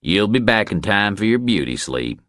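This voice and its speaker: A narrator, a man, plays a cocky ranch hand in a crime audiobook. The voice is low but lifted above the storytelling, breezy and offhand, recorded dry. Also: big pitch swings, normal speed, fairly bright tone, no room sound.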